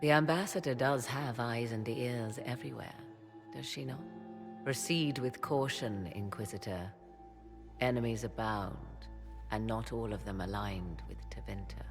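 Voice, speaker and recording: A woman playing an enigmatic, haughty arcane advisor in a video game, with theatrical, formal, drawn-out phrasing. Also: a bass voice. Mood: grave, intriguing. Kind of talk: video game dramatic dialogue. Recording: long hall reverb under music.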